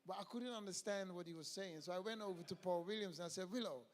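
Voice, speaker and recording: Ex-player guy, jokey, laughing a lot, highish voice, echoey hall. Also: fast. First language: Dutch. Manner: jovial, laughing storyteller. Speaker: former footballer guest. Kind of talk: stage interview. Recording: echoey hall with faint noise.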